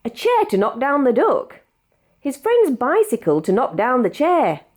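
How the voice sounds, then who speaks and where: A British woman narrates a children's storybook in a fairly high voice. Her pitch swings up and down in a very animated way, with expressive, measured delivery suited to reading aloud.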